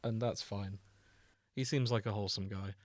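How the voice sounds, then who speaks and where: A man's voice: lowish voice, fairly even intonation, normal speed, no echo, studio quality. Easygoing man in casual chat. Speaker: podcast co-host. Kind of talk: casual unscripted podcast chat.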